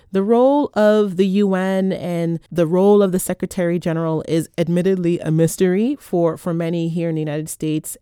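A man hosting an interview podcast, introducing his guest in an earnest, measured way and laughing often. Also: high voice, warm tone, smooth, no echo, clean studio sound. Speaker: podcast host.

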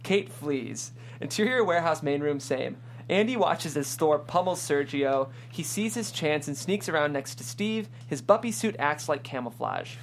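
A woman's voice: low-pitched, lively intonation, moderate pace, a little hiss or hum. A woman narrator reading screenplay stage directions at a table read.

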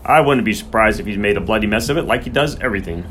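A man's voice: fairly low pitch, expressive, rapid-fire, a bit bright, a little hiss or hum. A man voicing a sneering, bad-tempered horse-keeper in an audiobook, snarling and sarcastic. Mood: dismissive, sneering.

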